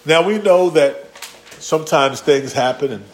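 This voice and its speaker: Homem pregando com gírias descontraídas. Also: male voice, fairly high pitch, a little slow, a little hiss or hum. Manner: casual, slangy, acts out quotes. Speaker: pastor. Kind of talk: conversational sermon.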